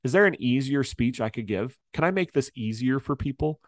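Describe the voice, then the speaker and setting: A man's voice: medium pitch, expressive, a little fast, no room sound, clean studio sound. A man speaking as a coach in an interview, emphatic and upbeat in a motivational way. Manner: emphatic, upbeat, acts out quoted voices. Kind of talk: interview guest, motivational talk.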